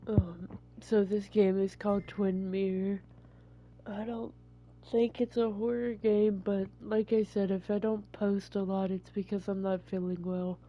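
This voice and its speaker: A woman with a stuffy cold talks in a rambling, apologetic way. Her pitch stays flat and even. The recording sounds dull and lo-fi. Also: a little slow, slight background noise. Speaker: gaming YouTuber. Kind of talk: casual let's-play intro.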